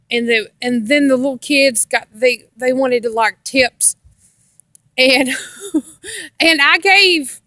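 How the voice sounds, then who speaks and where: A woman's voice: high-pitched, bright, forceful tone, no room sound, studio quality. An American woman telling a casual personal story in a rambling, repetitive way with folksy grammar. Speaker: storytelling vlogger.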